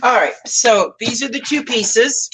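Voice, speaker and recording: A woman's voice: fairly high pitch, expressive, unhurried, a bit bright, slight background noise, low-quality recording. A Canadian woman hosting a craft live stream, chatting casually and correcting herself as she works. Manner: rambling, self-correcting while working. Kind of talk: casual craft demo chat.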